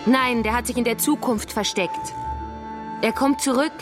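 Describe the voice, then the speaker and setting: A woman's voice: a little high, normal speed, lightly acted. An actress playing a resolute, earnest woman revolutionary in a radio drama, speaking in a declamatory way. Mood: fervent, combative. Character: fervent woman revolutionary proclaiming a planned utopia of machines. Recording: music playing behind the voice.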